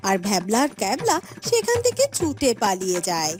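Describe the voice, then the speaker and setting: A woman's voice: mid-range voice, wildly swooping pitch, a little fast, soft background music. A woman narrating a scripted fairytale cartoon as its storyteller.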